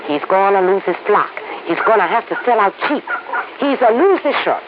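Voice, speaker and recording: A woman's voice: mid-range voice, animated, normal speed, natural voice. Woman playing a suspicious Italian farm wife. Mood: scheming. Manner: broken English, blunt and suspicious. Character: shrewd older Italian immigrant farm wife. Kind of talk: 1950s radio drama. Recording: tinny old radio broadcast with noise.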